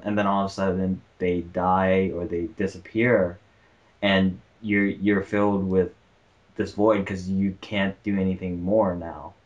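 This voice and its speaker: A man chatting casually on a commentary track in a halting way, often repeating words. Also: low-pitched, highs cut off. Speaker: commentary participant.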